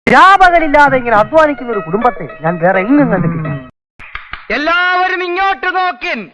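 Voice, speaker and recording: An actor playing a loud, excitable comic man in a film dialogue clip. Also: extremely high pitch, quick pace. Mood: agitated. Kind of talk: Malayalam film comedy dialogue. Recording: film clip with music behind.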